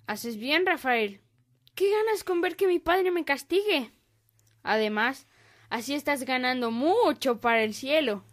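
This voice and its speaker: A high-voiced sly boy. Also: animated, unhurried. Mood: smug, taunting. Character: sly young boy, smug scheming brother. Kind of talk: Spanish-language radio drama acting.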